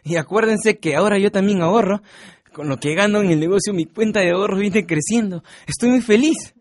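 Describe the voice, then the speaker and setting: An actor with a Peruvian accent playing a cheeky, self-satisfied young son who works as a delivery rider in a radio soap. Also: male voice, high voice, brisk, no room sound, clean studio sound. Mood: eager. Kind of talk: educational radio soap acting.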